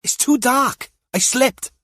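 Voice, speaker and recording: A man's voice: very high voice, slow, bright, forceful tone, no room sound, clean studio sound, voice pushed up, heavily acted. A man voicing a nervous, cautious younger teenage boy in a thriller audiobook, anxious and protesting. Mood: defensive.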